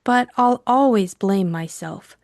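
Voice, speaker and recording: A woman voicing a timid, troubled young female client in an audiobook, hesitant and pleading, with a hushed, trembling delivery. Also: medium pitch, slow pace, no room sound, clean studio sound. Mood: remorseful. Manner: hushed, hesitant, quivering, stammering. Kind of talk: audiobook character acting.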